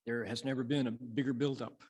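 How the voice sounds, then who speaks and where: A man reciting and teaching Buddhist scripture as a monk, in a measured, dramatic storytelling manner with pauses. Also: medium pitch, steady tone, a little mellow, husky. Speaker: Buddhist monk, sutra lecturer. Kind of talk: sutra recitation and dharma lecture.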